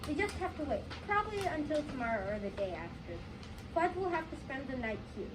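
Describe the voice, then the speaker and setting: A calm, reassuring doctor with a high voice read by a female performer. The delivery is a halting school play reading. The sound is distant with clear background noise. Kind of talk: school readers theater reading.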